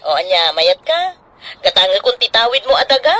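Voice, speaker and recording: A scheming Ilocano in-law in a radio drama. Her voice is rather high, sharp and very animated. She wheedles and exclaims, domineering over whoever she talks to. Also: brisk, exaggerated voice. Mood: pushy, domineering. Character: pushy, scheming older sister-in-law pressing to buy land.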